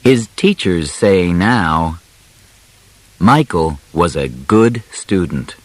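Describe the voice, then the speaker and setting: A man narrating an audiobook biography from a script, phrasing deliberately in short, separated chunks. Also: deep voice, animated, no room sound.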